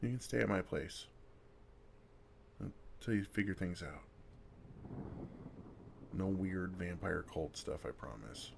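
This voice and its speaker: A man playing a kind, wry older vampire who comforts a newcomer in an intimate, gentle ASMR roleplay, with dry humor and unhurried pauses. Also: low-pitched, vocal fry, slight background noise, heavily acted. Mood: wry, protective.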